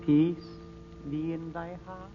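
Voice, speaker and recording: A solemn, ancient mystic teacher in an old radio serial. He speaks very slowly and ceremoniously in a fairly high male voice, over a thin, phone-like recording with music behind. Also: flat delivery. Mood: commanding. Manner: archaic, ceremonious, measured speech. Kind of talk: 1930s-40s radio drama acting.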